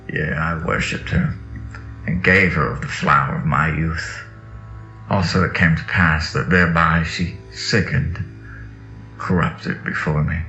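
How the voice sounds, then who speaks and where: Very deep, gravelly man reading solemnly. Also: fairly bright tone. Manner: slow, incantatory, dramatic. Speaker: reader of sacred texts. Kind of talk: solemn scripted reading of mystical scripture. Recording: echoey, dull audio under music. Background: music.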